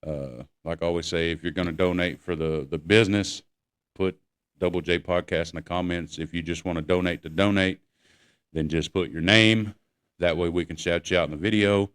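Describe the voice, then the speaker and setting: A man's voice: deep voice, steady tone, normal speed, a bit bright, clear, resonant tone. A man chatting casually as a podcast co-host, laid-back and full of slang. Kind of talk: casual chat.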